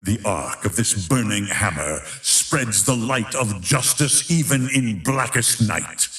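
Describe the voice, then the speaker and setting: An echoing, fairly low male voice as a grandiose video game tournament announcer. He is menacing and theatrical, drawing out his words slowly and leaning hard on each one for epic weight. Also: animated, fairly bright tone, full character voice. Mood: grand, dramatic. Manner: theatrical, drawn-out, emphatic. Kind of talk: dramatic scripted fighting-game narration.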